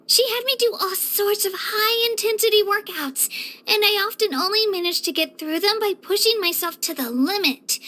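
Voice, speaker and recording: A woman's voice: very high voice, exaggerated voice. A woman voicing an earnest, sweet, self-doubting young girl hero in an anime-style fantasy video game. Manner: earnest, youthful, gentle.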